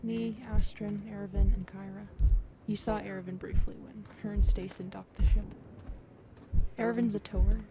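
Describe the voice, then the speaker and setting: A woman voicing an affectionate, playful alien lover in an ASMR roleplay, speaking softly and intimately and often dropping into breathy whispers. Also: mid-range voice, level tone, normal speed, noisy background, natural voice. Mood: chatty, explaining. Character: affectionate, playful adult female alien lover, tall otherworldly species.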